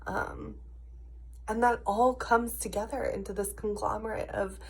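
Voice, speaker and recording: An American woman in her early thirties talks casually to her viewers in a personal rant. Her voice is husky and often drops into a gravelly creak, worn by a cough and sore sinuses. She sounds frustrated and leans hard on her points at a medium pitch. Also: fairly even intonation, unhurried. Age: early 30s. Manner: frustrated, emphatic. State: ill, with a cough and congested sinuses. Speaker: video diarist.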